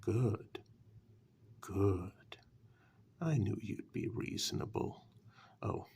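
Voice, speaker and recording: A man playing a sly, condescending warlock who bargains coolly in a dark fairytale ASMR role-play, speaking softly and breathily with slow, dismissive deliberation. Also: fairly low pitch, expressive, exaggerated voice. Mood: sly bargaining. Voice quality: breathy half-whisper, gravelly.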